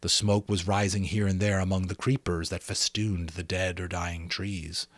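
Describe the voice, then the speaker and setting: A man's voice: sits low, flat delivery, moderate pace, no echo. A man reading a novel aloud as a dramatic amateur audiobook narrator.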